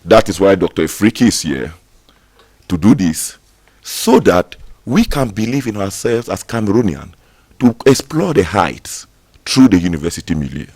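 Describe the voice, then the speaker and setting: A man speaking as a university representative in a TV interview, emphatic and promotional, pausing often with fillers. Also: low voice, big pitch swings, a little mellow, dry recording. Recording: dry studio sound.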